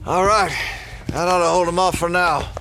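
A man's voice: sits high, steady tone, normal speed, bright, forceful tone, faint music behind. A man acting a serious, take-charge small-town sheriff in an audio drama, speaking earnestly. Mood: urgent, commanding.

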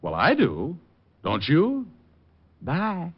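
A man's voice: fairly high pitch, huge pitch swings, drawn-out words, heavy background noise, full character voice. An actor playing a suave, wisecracking male private detective in a 1940s radio drama, wry and flippant. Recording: dull old radio broadcast.

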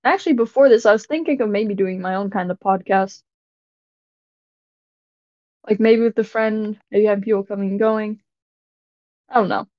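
A woman hosting a casual podcast in a fairly deep voice, rambling and stumbling over her words a little. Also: moderate pace, long pauses, no room sound. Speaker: podcast host. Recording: dull lo-fi dry audio.

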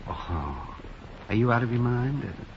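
A man's voice: medium pitch, expressive, measured pace, creaky. A man playing a defensive, indignant club owner in a 1950s radio drama, with a sarcastic edge. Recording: dull, noisy 1950s radio recording.

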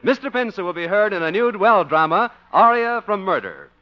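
A man's voice: high voice, expressive, a little fast. A man reading scripted announcements in 1950s network radio style. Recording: muffled old radio broadcast, music behind. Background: music.

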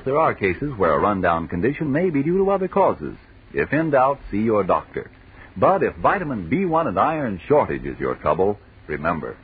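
Low-voiced American radio announcer, husky old recording. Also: male voice. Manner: polished, emphatic salesman delivery. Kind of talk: 1940s radio advertising and banter. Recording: muffled, phone-like 1940s radio recording.

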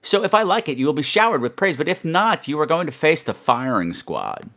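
A man's voice: on the high side, big pitch swings, brisk, a bit bright. A man hosting a horror podcast, chatting to his listeners in a campy, playful, self-mocking and emphatic way. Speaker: podcast host.

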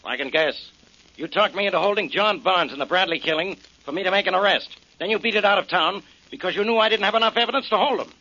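A blunt, exasperated police inspector from an old radio crime drama. His voice is piercingly forceful and husky, on the higher side. The recording is dull and aged. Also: quick pace, full character voice. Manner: blunt, grudging. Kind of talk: 1940s radio acting.

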